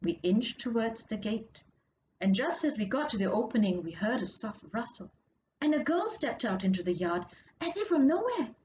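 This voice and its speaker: A woman reading a story excerpt aloud with expressive, measured and dramatic narration. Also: fairly high pitch. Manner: measured, dramatic, drops to hushed tones for suspense. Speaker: author reading their own story. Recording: muffled audio with clear background noise.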